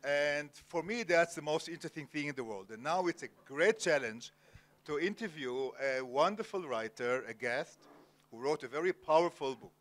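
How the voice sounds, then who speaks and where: A man's voice: a little high, animated, a bit bright. A man moderating an onstage literary interview, speaking formally with many hesitations. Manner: formal, many filler pauses. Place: cultural center event hall, Jerusalem.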